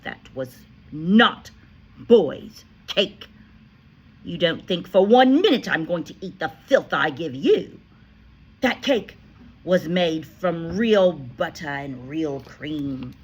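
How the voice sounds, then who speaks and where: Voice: mid-range voice, vocal fry, a bit bright, slightly rough, voice pushed up, heavily acted. A reader voicing a huge, tyrannical headmistress who barks and screams insults at a pupil in a storybook reading. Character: furious bullying female headmistress, huge and tyrannical. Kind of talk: audiobook character voice.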